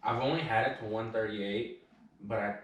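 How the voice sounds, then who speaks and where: A man's voice: fairly low pitch, steady tone, normal speed, slight background noise. A man in casual podcast banter, talking in an informal, matter-of-fact, joking way. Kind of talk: casual banter about cars. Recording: echoey room, distant mic.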